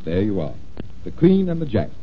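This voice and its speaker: A man's voice: lowish voice, huge pitch swings, moderate pace. An actor playing a confident magician detective in 1940s radio style, explaining things patiently like a showman. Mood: showmanlike, patient. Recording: dull, noisy old-time radio recording.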